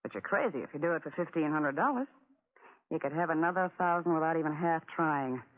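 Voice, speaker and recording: A woman's voice: low-pitched, expressive, fairly quick. A woman acting a young, worldly bar girl in a 1940s radio drama. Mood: confiding, sly. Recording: phone-like, noisy 1940s radio recording.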